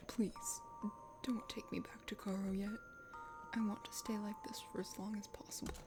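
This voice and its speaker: A woman voices a gentle young fantasy creature-man from a dating game. He speaks softly and bashfully, often close to a whisper. Music is clearly heard behind him. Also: mid-range voice, flat delivery, moderate pace, close to an everyday voice.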